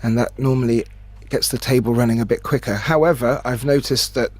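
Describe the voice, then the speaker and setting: A man giving expert pool analysis as co-commentator, thoughtful and a bit halting. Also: mid-range voice, fairly even intonation, no echo. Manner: analytical, hesitant with fillers, laughs easily. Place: remote booth.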